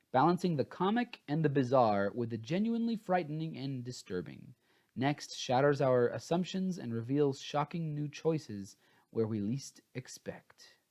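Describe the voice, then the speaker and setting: A man's voice: mid-range voice, darkish tone. A man chatting casually as he hosts a book review, informal and a bit scattered and hesitant, sounding tired.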